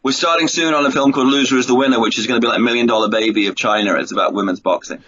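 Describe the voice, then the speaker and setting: A man's voice: medium pitch, very fast, fairly bright tone, breathy edge. A talkative, jokey man chatting enthusiastically as a guest in a casual radio interview. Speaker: radio guest, filmmaker and author. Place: remote call to a radio show. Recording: dull lo-fi radio call.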